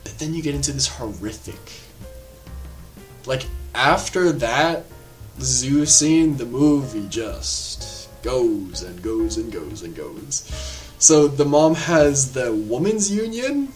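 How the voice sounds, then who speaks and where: An animated man talks through a horror film in a loose, rambling way, tossing in fillers and asides as he goes. His voice sits at an ordinary middle pitch. Soft music plays faintly under him. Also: slow pace. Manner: animated, rambling, lots of filler words and laughs. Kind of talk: casual spoken film review.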